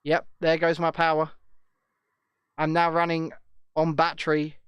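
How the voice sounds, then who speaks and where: A man's voice: a little high, completely flat delivery, unhurried, a bit bright. A man co-hosting a casual podcast, speaking earnestly with frequent restarts. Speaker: podcast co-host. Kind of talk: casual chat.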